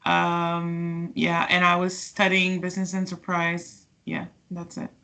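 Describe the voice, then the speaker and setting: A woman's voice: fairly low pitch, completely flat delivery, takes it slowly, creaky, fairly bright tone, slight background noise. A woman in her twenties from Portugal, speaking casually as an interview guest, with many fillers and restarts. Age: 20s.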